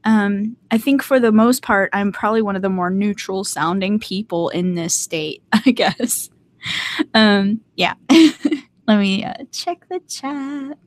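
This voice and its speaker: A woman's voice: mid-range voice, takes it slowly. Woman with a slight southern twang just chatting on stream, rambly, laughs a lot, self-deprecating. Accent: light Southern US, North Carolina. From Southern United States. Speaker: streamer hosting a live hangout. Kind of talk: casual chat with a live chat.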